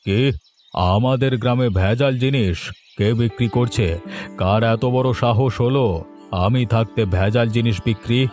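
A man's voice: mid-range voice, moderate pace, a little mellow, lightly acted. A man playing a dutiful, authoritative village police officer in a Bengali cartoon, speaking in a self-assured, official manner. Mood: indignant. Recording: music behind the voice.

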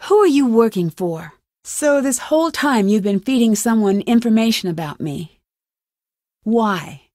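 A woman's voice: a little high, expressive, a little slow, dark tone, dry recording, studio quality. A woman voicing a determined, suspicious young amateur detective in a video game, with an earnest, questioning manner. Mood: accusing, firm.

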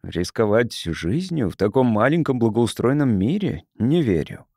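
A man's voice: mid-range voice, very animated, normal speed, a little mellow, no echo, clean studio sound. A man reading the part of a curious, persistent visitor from Earth in an audiobook, polite and probing. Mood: disbelieving. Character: inquisitive, persistent male visitor from Earth, a sociologist.

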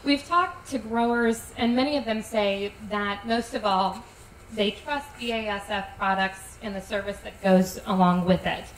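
A woman hosting a panel, giving brisk, short cues in a large tent. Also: medium pitch. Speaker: host and moderator. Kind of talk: panel moderation. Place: large tent at an outdoor event. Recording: roomy sound from some distance, faint noise.

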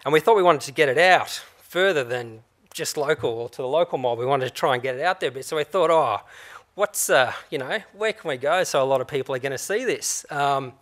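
A man's voice: mid-range voice, fairly bright tone. A man explaining an art project at a conference, hesitant with frequent fillers. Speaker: media producer. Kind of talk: conference talk. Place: conference stage, indoors.

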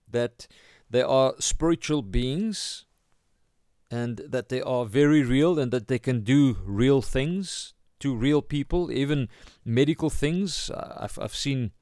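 A man with a South African accent giving an informal sermon as a pastor, halting as he searches for words. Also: medium pitch, animated, a little mellow, dry recording, clean studio sound. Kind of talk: informal sermon, Bible teaching.

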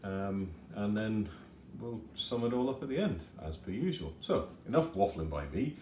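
A man in his forties giving a chatty, unhurried model kit review at his kitchen table. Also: on the low side, lively intonation, darkish tone, slight background noise. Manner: chatty and unhurried, with fillers and self-corrections. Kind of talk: casual unboxing review.

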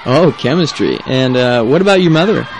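A man playing a chatty, forgetful schoolteacher in a scripted English lesson dialogue, animated and slightly hesitant. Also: medium pitch, normal speed, slight background noise, natural voice. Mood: cheerful, curious.

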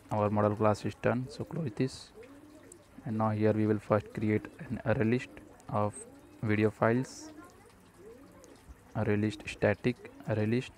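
A man presenting a step-by-step programming tutorial, speaking haltingly in short fragments. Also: fairly low pitch, a little mellow. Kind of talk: step-by-step screencast tutorial narration.

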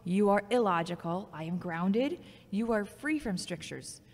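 A woman's voice: medium pitch, lively intonation, a little slow, a little mellow. An actress playing a frank, logical, critical yet caring former girlfriend in a scripted audio drama. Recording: long hall-like reverb.

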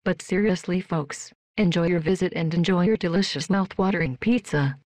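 A woman voicing a polite, emotionless female robot worker in a video game, with flat, stilted, deadpan delivery. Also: fairly low pitch, normal speed, dry recording, close to an everyday voice. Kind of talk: scripted video game voice lines.

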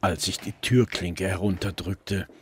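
A man's voice: sits low, fairly even intonation, measured pace, slight background noise. A man playing a brooding detective who narrates his investigation in a scripted German crime radio drama. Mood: brooding, measured. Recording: prominent music bed behind narration.

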